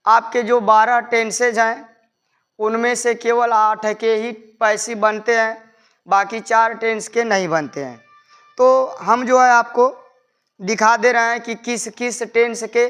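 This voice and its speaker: Guy teaching a class, slow n clear voice, explains stuff step by step, repeats himself. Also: extremely high pitch, sharp tone. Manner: methodical, repetitive step-by-step explaining. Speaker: English grammar teacher. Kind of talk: explanatory classroom-style lesson.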